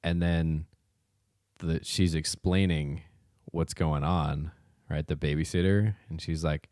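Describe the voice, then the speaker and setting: A man's voice: a bass voice, fairly even intonation, slow, a little mellow, no echo, clean studio sound. A man co-hosting a podcast, chatting casually in a teasing, rambling way. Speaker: podcast co-host. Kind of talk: casual chat.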